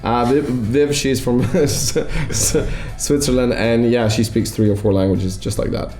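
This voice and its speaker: Animated man, roomy sound. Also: medium pitch, a little slow. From Latvia. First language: Latvian. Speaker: podcast co-host, actor. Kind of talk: casual chat.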